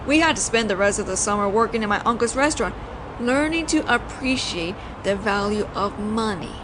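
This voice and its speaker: A woman's voice: medium pitch, expressive, brisk, natural voice. A woman playing a flirtatious, teasing mafia boss in an audio roleplay, talking in a playful, conversational storytelling way. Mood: fond, wry. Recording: noisy outdoor city ambience, traffic.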